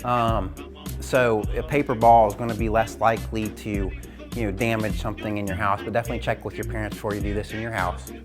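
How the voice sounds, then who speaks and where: A man's voice: fairly low pitch, little pitch movement, fast talker, over music. Encouraging male gym teacher, casual coaching. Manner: encouraging, instructive. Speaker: gym teacher hosting an exercise show. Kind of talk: casual game demonstration with coaching tips. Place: indoors at home.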